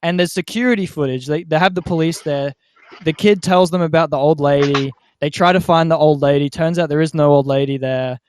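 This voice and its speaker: A man hosting a podcast, telling stories in an animated, casual way and often checking in with his listeners. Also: on the high side, a little fast, a little hiss or hum. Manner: animated storyteller who often checks in with "right?". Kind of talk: casual storytelling chat.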